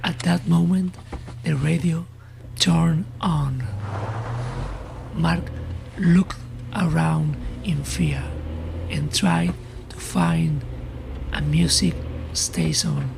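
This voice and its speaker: A woman narrating a scripted horror story in a hushed, breathy, suspenseful way. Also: low-pitched, steady tone, very slow, long pauses, background noise.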